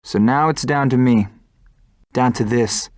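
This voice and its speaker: A man's voice: mid-range voice, a little slow, a little mellow, dry recording, lightly acted. Brooding, principled young male fighter pilot played by a man, game drama, introspective, close dry sound. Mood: grieving resolve. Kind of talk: scripted game cutscene narration and dialogue.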